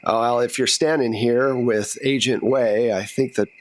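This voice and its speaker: A man's voice: mid-range voice, fairly even intonation, unhurried, rough recording. A man working as game master, narrating a tabletop role-playing game in a casual, conversational way.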